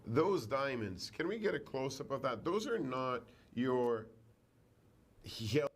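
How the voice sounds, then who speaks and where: A man presenting on a home shopping broadcast, pitching gemstones with showy salesman patter. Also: very animated, takes it slowly, husky. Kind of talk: live on-air sales pitch.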